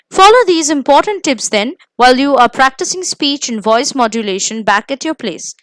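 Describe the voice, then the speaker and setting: A woman with an Indian accent reading scripted coaching tips in a measured, emphatic instructional manner. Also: high voice, a bit bright, dry recording. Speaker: presenter of interview coaching lesson.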